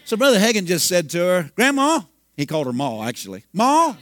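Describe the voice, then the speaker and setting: A man in his fifties preaching a sermon, telling a healing story and breaking into loud hallelujahs. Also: high voice, wildly swooping pitch, brisk. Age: 50s. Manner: storytelling, bursts into shouting and hallelujahs. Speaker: pastor.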